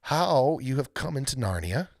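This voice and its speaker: A man voicing a courteous, excitable male faun in a storybook, with a stammering, formally polite manner and a touch of melancholy. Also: mid-range voice, moderate pace, vocal fry, no room sound, clean studio sound, pitched above the performer's own voice. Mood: curious, courteous. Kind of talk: storybook read-aloud character voice.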